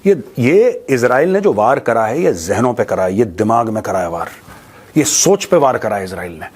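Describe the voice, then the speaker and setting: A man's voice: medium pitch, big pitch swings, moderate pace, a little mellow, breathy edge. A man giving an informal, opinionated news monologue, mocking and colloquial, repeating phrases for emphasis and mixing in English. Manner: mocking, colloquial, rhetorical questions, mixes in English. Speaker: host of a news commentary show. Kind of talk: opinionated informal monologue to viewers on current affairs.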